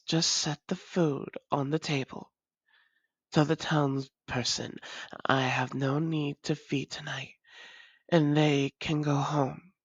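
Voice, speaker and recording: A woman playing a wry, doting female vampire lover in an intimate audio roleplay, speaking in a measured, formal way with teasing endearments. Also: low-pitched, husky, dry recording, heavily acted. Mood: calm, formal.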